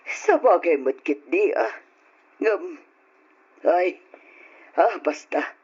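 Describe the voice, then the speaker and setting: An actress playing an older mother and wife in a radio drama, soft and expressive, sighing with worry. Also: on the low side, extremely slow, breathy edge, exaggerated voice. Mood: worried. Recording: lo-fi old radio drama recording.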